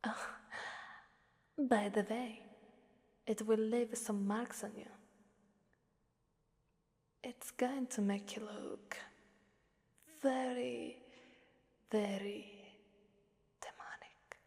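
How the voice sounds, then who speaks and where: A woman's voice: on the high side, fairly even intonation, extremely slow, long pauses, darkish tone. A woman voicing a seductive, taunting Krampus demoness in an ASMR roleplay, half-whispering with playful menace. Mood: smug, menacing. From Italy. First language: Italian. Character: seductive, taunting female Krampus demon ruling a fighting arena. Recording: long cavernous reverb.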